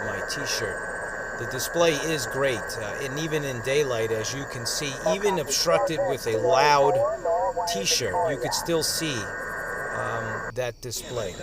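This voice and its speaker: A man giving a casual hands-on product review outdoors, speaking in a halting, hesitant way. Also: mid-range voice, poor audio. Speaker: radio reviewer. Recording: outdoor noise, insects and traffic.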